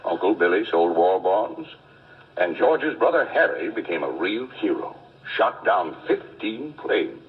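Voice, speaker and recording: An actor playing a wise, kindly senior angel in a 1940s radio drama, narrating a life story in a measured, folksy way. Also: male voice, sits low, very animated. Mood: reflective. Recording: tinny, narrow 1940s radio recording.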